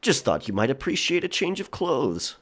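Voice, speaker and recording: An actor playing a gruff, teasing, stubborn pirate in an audio drama. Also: male voice, a little high, big pitch swings, normal speed. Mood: teasing, wry.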